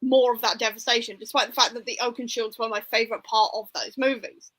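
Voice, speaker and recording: Bright, higher-voiced woman chatting about books. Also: steady tone, quick pace. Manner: informal and opinionated, hedging with "like" and "sort of". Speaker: book discussion livestream host. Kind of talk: casual book discussion chat.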